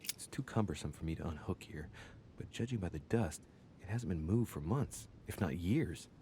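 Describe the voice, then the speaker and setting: An actor playing a brooding young man in a video game, investigating clues and deliberately musing aloud to himself. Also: lively intonation, warm tone. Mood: intent, musing. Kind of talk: dramatic video game acting, reading aloud. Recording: low rumbling background noise.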